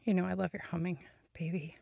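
Woman playing a grieving young girlfriend, husky, low-pitched, close dry mic, angry then whispering. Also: little pitch movement, fast talker, no room sound. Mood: loving. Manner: shifts between angry outbursts and soft whispers. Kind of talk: audio roleplay to a listener.

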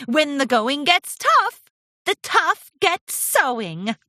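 A woman's voice: high voice, very animated, takes it slowly, pushed and bright, no room sound, clean studio sound, voice pushed up, exaggerated voice. A woman voicing an eager, devoted young female apprentice pony in a children's story, with an earnest manner. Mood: determined, eager.

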